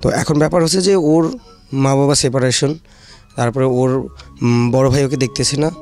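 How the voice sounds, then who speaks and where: A Bangladeshi man with a gravelly, medium-pitched voice explains a case in an interview. His phrasing is hesitant and dotted with English terms, and quiet music plays behind him. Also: steady tone, normal speed. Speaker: shelter manager, interview guest.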